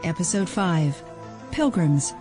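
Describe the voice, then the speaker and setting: Slow, low-voiced woman announcer. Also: expressive. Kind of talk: scripted title and scene announcements. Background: music.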